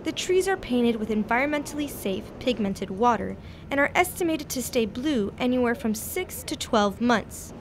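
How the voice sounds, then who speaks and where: A woman delivers a scripted news report in a high voice. Her enunciation is crisp and broadcast-ready, and some noise sits in the recording. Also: expressive, normal speed.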